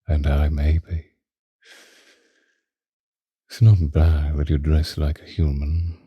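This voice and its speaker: An ancient male vampire lord acted by a man speaks in an extremely low, very dark voice, slowly and intimately. His breathy, formal phrasing makes him a courtly, possessive lover in a romantic ASMR roleplay, recorded close and dry. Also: dry recording, exaggerated voice. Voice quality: breathy, husky. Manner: slow, theatrical, formal old-fashioned diction with lingering pauses.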